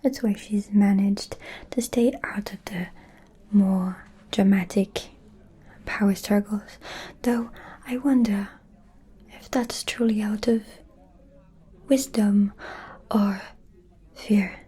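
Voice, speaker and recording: Woman playing a slow whispering vampire noblewoman. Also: mid-range voice, steady tone, warm tone. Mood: sly, insinuating. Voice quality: breathy whisper. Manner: slow, deliberate, insinuating. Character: scheming, haughty vampire noblewoman, honeyed and manipulative. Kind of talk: whispered ASMR roleplay.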